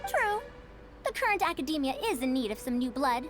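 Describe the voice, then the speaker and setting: A prim snooty senior researcher lady in a video game, high voice, swoops around a lot, music under it. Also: big pitch swings, fairly quick. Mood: prim, lofty. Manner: prim, self-important, lofty phrasing. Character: haughty senior female scholar of ancient languages and ruins.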